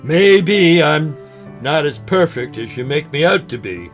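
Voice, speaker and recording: A man playing a sentimental, ailing old fisherman in an audio drama, speaking in a halting, folksy way. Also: a little high, big pitch swings, soft background music. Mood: sad, apologetic. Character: ailing old man, sentimental lifelong fishing buddy, gently joking.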